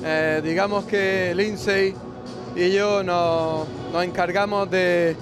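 A musician being interviewed, hesitant and repeating words. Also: male voice, high voice, fairly even intonation, takes it slowly, music in the background. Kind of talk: street interview.

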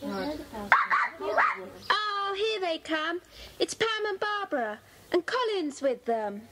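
A woman's voice: very high voice, very animated, slow pace, noisy background, exaggerated voice. A woman playing a helpful, tidy young schoolgirl in a children's audio drama. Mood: eager, orderly.

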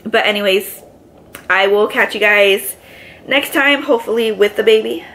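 Raspy-voiced pregnant woman chatting to camera. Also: mid-range voice, normal speed, a bit bright, a little hiss or hum, poor audio. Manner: chatty, lots of filler words, gestures with both hands. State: congested from allergies. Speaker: vlogger. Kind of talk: casual chat to camera. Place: living room, seated on a couch. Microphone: camera in front, medium framing.

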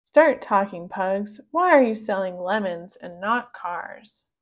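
A woman's voice: mid-range voice, lively intonation, takes it slowly, dull audio. A woman voicing a bold young storybook heroine, bossy and emphatic. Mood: commanding.

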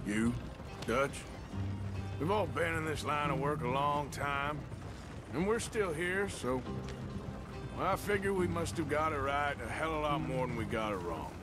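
An actor playing a stoic, loyal American cowboy outlaw in a video game, plain-spoken and laconic. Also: male voice, mid-range voice, natural voice.

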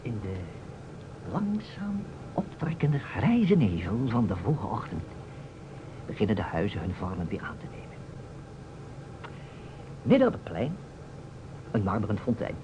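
Radio-play detective: low, lively delivery, sly boastful storyteller, measured with dramatic pauses, muffled old recording. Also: male voice, background noise. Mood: storytelling. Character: self-assured detective, slyly teasing storyteller and boastful mimic.

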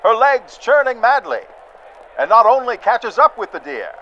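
A high-pitched man narrates a vintage 1940s-style radio serial with dramatic flair. The sound is dull and lo-fi, with faint noise behind him. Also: very animated, moderate pace, sharp tone.

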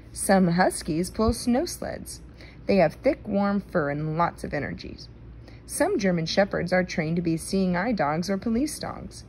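A woman reading a children's nonfiction book aloud in a careful, deliberate manner. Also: fairly low pitch, a little hiss or hum, rough recording. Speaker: read-aloud reader.

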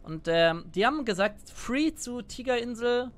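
A man's voice: extremely high pitch, animated, a little slow, fairly bright tone. A man in his twenties chatting casually to viewers in a rambling way as he comments on his gameplay, close to the mic. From born Italian. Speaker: gaming streamer. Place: indoor room at a gaming setup. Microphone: close desk microphone, wearing a headset.

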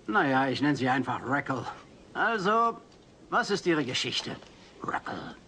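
An actor playing a mocking, slick TV talk show host in a comedy radio play, teasing and showy. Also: male voice, mid-range voice, very animated, a little slow, pushed and bright. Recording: noisy old cassette transfer.

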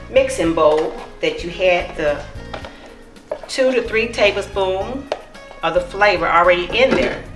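A middle-aged home cook with a fairly low voice explains each step of a recipe warmly and encouragingly. She is recorded on a camera mic in an echoey kitchen. Music plays clearly in the background. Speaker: cookbook author and home cook. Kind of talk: cooking demonstration.

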